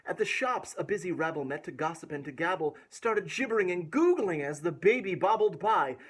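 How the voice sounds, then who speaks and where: Expressive male children's storyteller, slightly husky. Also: high voice, brisk. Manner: playful, expressive, dramatic emphasis on the rhymes. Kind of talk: animated read-aloud of a rhyming picture book with asides to young viewers.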